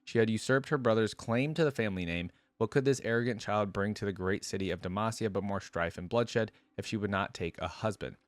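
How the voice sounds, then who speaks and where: A man's voice: lowish voice, fairly quick, hardly any pauses, dry recording. A man hosting a reaction video, talking informally and reading lore aloud, with a slangy, thinking-aloud manner. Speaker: YouTube reaction host.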